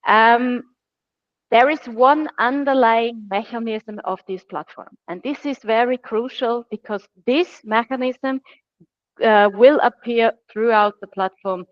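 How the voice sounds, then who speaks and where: A woman's voice: on the high side, measured pace, dry recording, muffled recording. A woman with an Austrian accent presenting a product webinar in English, friendly and self-deprecating, explaining step by step. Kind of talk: online presentation.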